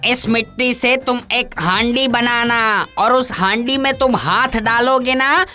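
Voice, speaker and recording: A woman voicing a kindly, cautioning ghost in an animated fairy tale, coaxing and repeating words in an unhurried way. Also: a little high, faint music behind. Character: kindly ghost granting a magic pot, fond and cautioning.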